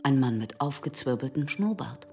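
A woman's voice: a bass voice, expressive, normal speed, a little mellow, soft background music. An actress playing a guarded, stony female shopkeeper in a radio drama, answering tersely and impassively. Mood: guarded, indifferent. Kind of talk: German radio drama acting.

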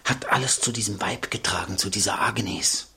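A male actor playing a poor, hungry, streetwise young robber's son in a radio drama, talking in a plain, hesitant, confiding way with a husky voice. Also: fairly low pitch, moderate pace, pushed and bright, heavily acted. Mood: resentful, confiding.

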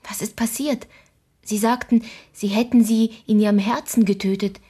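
Shy curious young girl in a radio drama, highish super breathy voice, polite, soft, keeps asking. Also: steady tone, moderate pace. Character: timid but persistent, curious young girl.

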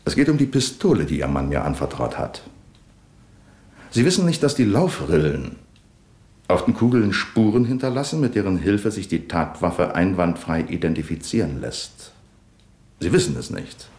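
An actor playing a shrewd, calm male police commissioner in a 1970s radio drama, with a Swiss German accent, speaking in a measured, courteous, probing way. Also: low-pitched, reverberant. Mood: accusing.

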